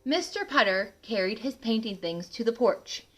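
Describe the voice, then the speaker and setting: A woman reading a picture book aloud to children in an animated way, adding asides and questions to the listeners. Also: a little high, normal speed, soft background music. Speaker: read-aloud host for children.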